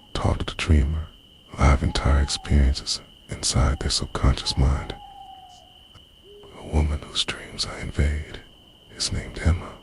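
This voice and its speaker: A man playing a lovestruck, obsessive dream invader in an intimate ASMR monologue, confessional, brooding and half-whispered. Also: very deep voice. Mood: confessional, intense.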